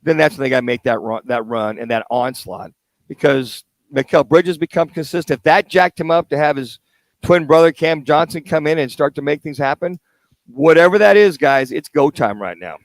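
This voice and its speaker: Higher-voiced American sports radio man. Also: normal speed, dry recording. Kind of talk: casual sports talk and analysis. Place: live remote radio broadcast at a car dealership.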